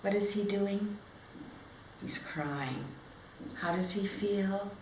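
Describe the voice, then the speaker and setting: A woman reads a children's story aloud as a teacher, at a slow and careful pace. She keeps her phrases short and simple and often turns to questions for her listeners. The room is echoey, with noticeable background noise. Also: mid-range voice, a little mellow. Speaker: teacher demonstrating read-aloud techniques. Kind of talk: storybook read-aloud lesson for young learners.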